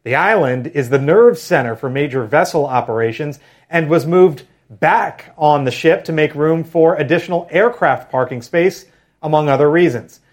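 A man reading the news as anchor, crisp and brisk. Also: a little high, animated.

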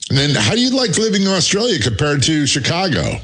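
A middle-aged man, a retired police sergeant, hosting a talk radio show in a measured, steady way. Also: on the high side, breathy edge. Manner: steady, measured interviewer with frequent fillers.

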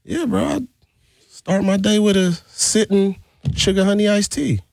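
A man's voice: high-pitched, measured pace, creaky, a little mellow. A man in his forties bantering casually as a radio show sidekick, slangy and joking. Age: 40s.

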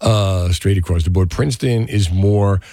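A man's voice: deep voice, normal speed, mellow, dry recording, studio quality. A man with a North Jersey accent hosting a call-in radio show, chatting loosely with callers. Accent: North Jersey, Union City. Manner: chatty, loose, filler-heavy. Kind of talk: casual talk radio chat.

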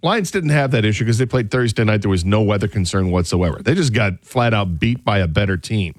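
Low-voiced man talking sports. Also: lively intonation, brisk, no echo, clean studio sound. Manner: conversational, acts out coaches with a raised voice. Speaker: sports radio co-host. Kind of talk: casual sports talk.

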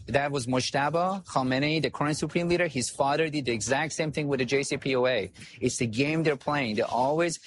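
A man's voice: mid-range voice, a little hiss or hum. A man from Iran, a guest analyst on a talk show, speaking firmly and with emphasis. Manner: firm, measured, emphatic.

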